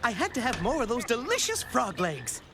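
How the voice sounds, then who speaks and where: A food-loving cartoon restaurant diner voiced by an actor. The delivery is exaggerated and swings widely in pitch, set over loud music. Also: huge pitch swings, moderate pace. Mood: overindulged. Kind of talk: animated children's cartoon voice acting.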